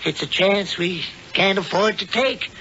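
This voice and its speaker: Old male witch, crafty and bossy, highish forceful delivery for radio drama. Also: measured pace, exaggerated voice. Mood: worried, sinister. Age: older. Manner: bossy, agitated, conspiratorial. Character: crafty, domineering old male witch posing as kindly uncle. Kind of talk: 1970s radio drama acting. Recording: dull noisy old radio recording.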